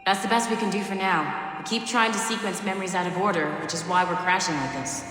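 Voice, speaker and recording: An actress playing a brisk, confident memory technician in a video game, businesslike and technical. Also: female voice, fairly low pitch, fairly bright tone, slight background noise, natural voice. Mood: focused, businesslike. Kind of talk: scripted video game dialogue. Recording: very echoey, long reverb, music behind.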